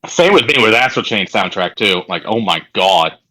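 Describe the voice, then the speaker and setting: A man's voice: on the low side, animated, normal speed, pushed and bright, poor audio. A man in a casual gaming podcast chat, opinionated and slangy, repeating himself for emphasis. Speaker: podcast co-host. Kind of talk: casual chat about video games.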